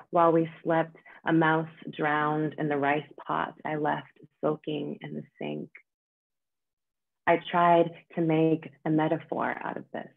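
A woman in her thirties, a poet, reading in a measured, solemn manner over a video call. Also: deep voice, gravelly. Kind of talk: poetry reading. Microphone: computer mic on a video call. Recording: dull, band-limited video-call audio.

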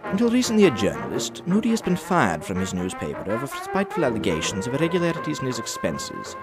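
Guy doing dramatic old-time radio narrator, very animated, big loud brass music behind him. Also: mid-range voice, fast. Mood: solemn, dramatic. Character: solemn, dramatic announcer of a 1930s-set serial.

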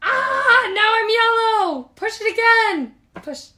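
Woman voicing an excitable, cheeky little storybook monster. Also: very high-pitched, slow, voice pushed up, full character voice. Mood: excited, squealing. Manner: exclamatory, squealing, conspiratorial. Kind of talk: animated children's picture-book read-aloud.

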